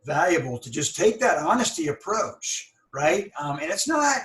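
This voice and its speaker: A man's voice: sits high, sing-song, moderate pace, fairly bright tone. A man hosting an interview podcast, who stutters and restarts words as he talks in a thoughtful, encouraging way. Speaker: podcast host. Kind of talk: conversational interview. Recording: roomy, a bit far from the mic.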